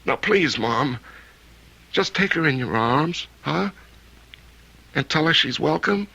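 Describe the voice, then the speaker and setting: A man's voice: fairly high pitch, expressive, takes it slowly, creaky, sharp tone, full character voice, rough recording. An actor playing an agitated, insistent grown son in an old-time radio drama, stammering as he pleads and pushes. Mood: insistent, heated. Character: agitated, insistent grown son clinging to his dead wife.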